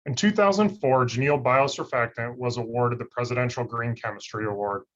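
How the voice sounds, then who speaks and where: A man scientist giving a steady, monotone scripted webinar presentation over a video call. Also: mid-range voice, brisk. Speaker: industry research scientist presenting. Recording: slightly dull lo-fi call audio.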